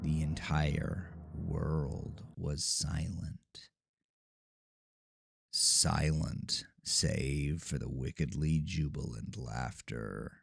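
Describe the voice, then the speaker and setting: A man narrating a scripted horror story in a measured, dramatic manner. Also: very deep voice, steady tone, creaky, slightly rough, dry recording, clean studio sound.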